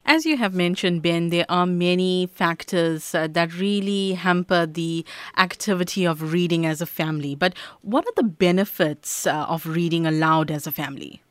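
Female news interviewer, formal delivery, lower-middle pitch, close dry acoustic. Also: normal speed, few pauses, no room sound. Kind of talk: formal radio interview questioning.

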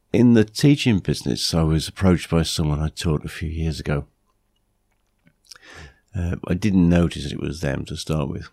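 A British man hosting a podcast with a blunt, plain-spoken manner, his voice croaky from flu. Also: deep voice, a little mellow, dry recording, clean studio sound. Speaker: podcast host. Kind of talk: podcast monologue, anecdotes and advice.

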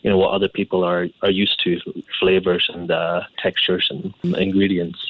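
A man's voice: low voice, little pitch movement, normal speed, phone-quality sound, slight background noise. A Scottish man, an executive chef, answering questions conversationally in an interview. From Scotland.

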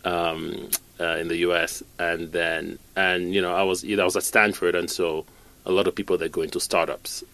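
A man in his forties from Cameroon, a business executive giving a relaxed studio interview, restarting phrases as he goes. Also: sits low. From born in Cameroon, raised in Canada. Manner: talks in quick bursts, repeats and restarts phrases. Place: radio studio.

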